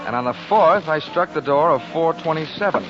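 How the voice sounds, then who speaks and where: Wry, animated hard-boiled radio detective played by a man. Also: on the high side, a little fast, lightly acted. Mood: wry narrating. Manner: wisecracking, clipped, hard-boiled. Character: wry, hard-boiled male insurance investigator. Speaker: lead actor and narrator of a radio detective drama. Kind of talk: 1940s radio acting. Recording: muffled 1940s radio recording with music.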